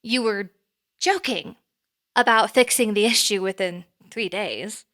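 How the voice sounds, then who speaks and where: A woman's voice: mid-range voice, unhurried, fairly bright tone, natural voice. A woman playing a composed, businesslike boss in an audio drama, with formal, dry phrasing. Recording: faint music behind.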